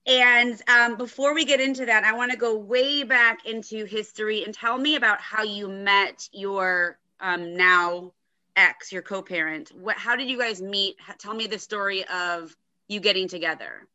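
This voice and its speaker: A woman's voice: medium pitch, steady tone, moderate pace, sharp tone. A woman hosting a podcast as an interviewer and coach, speaking on a slightly muffled recording. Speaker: podcast host and divorce coach. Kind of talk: podcast interview with a scripted intro.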